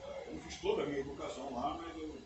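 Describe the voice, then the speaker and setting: An older man, a native English speaker, giving a relaxed conference lecture in a university auditorium, often checking in with his listeners. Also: on the high side, racing. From United States. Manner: conversational, often ends phrases with "né?". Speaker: guest lecturer. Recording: echoey auditorium, distant mic, background noise.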